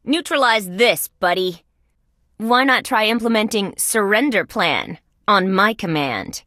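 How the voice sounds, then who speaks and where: A woman voicing a young, wisecracking female insect police detective in a video game, with sardonic, deadpan quips. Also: mid-range voice, measured pace, no echo, clean studio sound. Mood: cocky.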